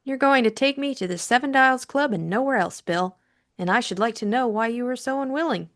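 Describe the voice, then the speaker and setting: A woman voicing a headstrong young upper-class lady in an audiobook, brisk, teasing and probing. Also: on the high side, fairly bright tone, voice pushed up. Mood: determined. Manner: adamant, probing. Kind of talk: audiobook character acting.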